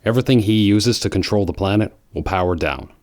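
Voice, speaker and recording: A serious, gruff hedgehog antihero played by a man in a fan audio drama. The voice is low and deadpan, with short guarded phrasing and a dry, close sound. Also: a little fast, dry recording, lightly acted. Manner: deadpan, terse.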